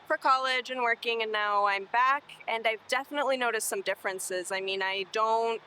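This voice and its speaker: Young woman from colombia, bright voice, earnest and hopeful, faint street noise outside. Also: fairly high pitch, normal speed, rough recording. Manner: earnest, hopeful, fluent with fillers. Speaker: city sustainability worker. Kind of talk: oral history interview.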